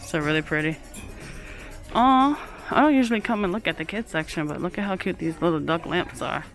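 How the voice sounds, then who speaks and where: Woman vlogger, low voice, chatty store walk-through on a phone, music playing behind. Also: lively intonation, brisk, low-quality recording. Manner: chatty, keeps calling things cute, reads prices aloud. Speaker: shopping vlogger. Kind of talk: casual shop-with-me narration. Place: inside a large home goods store. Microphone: handheld phone camera.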